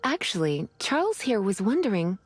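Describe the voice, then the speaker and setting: A woman's voice: mid-range voice, sing-song, normal speed, soft background music, lightly acted. A woman playing a languid, teasing, sweetly polite lady in a video game, coy and genteel.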